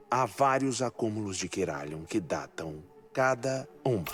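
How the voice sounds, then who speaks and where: An earnest scientist in a Brazilian game dub. His voice sits fairly low, and he lectures deliberately, leaning on key words over clearly audible music. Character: earnest scientist explaining theories to a courier. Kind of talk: dubbed video game cutscene acting. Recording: loud music behind voice. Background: cinematic game music.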